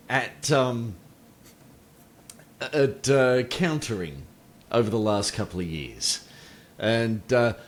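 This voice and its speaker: A man hosting an interview, thinking aloud in a hesitant, reflective way with frequent ums. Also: mid-range voice. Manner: reflective, hesitant, many ums and short backchannel replies. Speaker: host. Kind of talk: casual interview chat.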